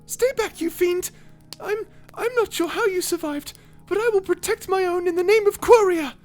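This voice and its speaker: A woman voicing a cute, feisty little mouse in a character audio roleplay, earnest, proper and excitable. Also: very high voice, normal speed, faint music behind, pitched above the performer's own voice. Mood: alarmed, indignant. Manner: excitable, indignant, proper, stammering.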